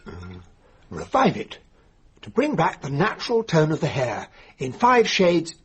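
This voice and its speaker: An actor playing a genial, candid English gentleman, the loyal friend of a detective, bluff and expressive in a radio drama. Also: on the high side, unhurried, slightly rough, full character voice. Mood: amused, sceptical. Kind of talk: radio drama acting and first-person narration.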